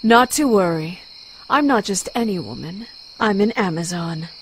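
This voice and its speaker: A woman's voice: medium pitch, lively intonation, moderate pace, close to an everyday voice. Woman doing fierce amazon warrior girl for a motion comic, hot tempered and blunt, bit of background noise. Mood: defiant. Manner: blunt, defiant, dramatic.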